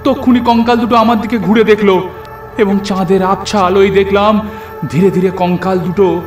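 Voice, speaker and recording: A man's voice: high-pitched, fairly even intonation, moderate pace. Male narrator, scripted horror story, suspenseful, theatrical, echo, background music. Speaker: first-person narrator. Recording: echoey, music underneath.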